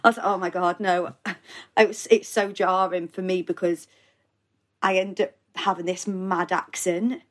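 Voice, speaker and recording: A woman's voice: on the low side, steady tone, unhurried. A young adult woman from Wales, a guest actor on a podcast, talking casually from a holiday lodge over a remote call, laughing often and trailing off into fillers.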